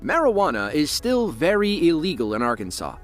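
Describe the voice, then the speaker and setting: A man giving scripted narration for a police video, with a dramatic, lively delivery. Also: fairly high pitch, fairly quick, faint music behind.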